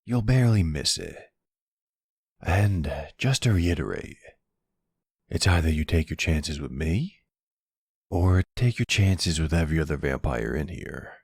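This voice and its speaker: A man's voice: very animated, a little slow, long pauses, no room sound, exaggerated voice. A man playing a smug, flirty, teasing vampire in an intimate ASMR roleplay, with a playful, taunting drawl close to the microphone. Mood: coaxing, flirty. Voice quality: deep, gravelly, husky. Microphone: close studio-style microphone, dry.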